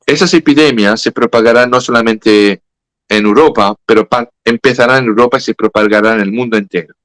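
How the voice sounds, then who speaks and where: A man's voice: medium pitch, brisk, a bit bright, no room sound. A man with a French accent, a native French speaker, explaining at length in a conversational talk, hesitant with many fillers and self-corrections. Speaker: guest speaker.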